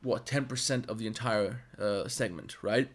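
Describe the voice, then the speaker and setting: A man with a slightly low voice and a Balkan accent talks through a 3D modeling livestream. He is blunt and swears freely. His English is fluent, though his first language is Serbo-Croatian. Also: moderate pace. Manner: blunt, profane, rambling. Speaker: 3D artist and streamer. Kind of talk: livestream tutorial with chat.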